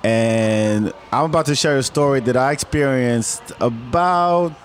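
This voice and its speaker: A man's voice: mid-range voice, unhurried, slight background noise. A man from Senegal telling a ghost story in casual talk, rambling and repeating words as he acts out the dialogue. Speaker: guest storyteller.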